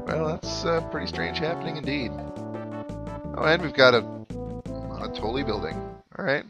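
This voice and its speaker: A man's voice: on the high side, animated, normal speed. A man giving casual, wry let's play commentary with sarcastic asides. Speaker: gaming video host. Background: game music.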